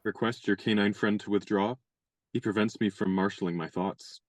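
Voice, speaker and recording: An actor playing a genial, gallant young gentleman adventurer in a radio play, courteous and wry in measured speech. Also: lowish voice, steady tone, lightly acted.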